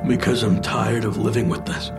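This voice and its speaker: An actor playing a guilt-ridden, lovesick, weary man in an audio drama, speaking in a halting, subdued, confessional way. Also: on the low side, creaky, over music. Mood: haunted, weary. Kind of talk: naturalistic audio drama acting.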